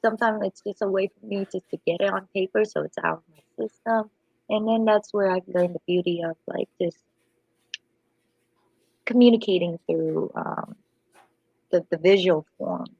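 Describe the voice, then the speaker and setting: Husky woman, native Vietnamese speaker, under the weather. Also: mid-range voice, fairly even intonation, moderate pace, warm tone, dry recording, lo-fi sound. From Asia. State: voice off from a lingering illness. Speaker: podcast guest, illustrator. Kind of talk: casual chat.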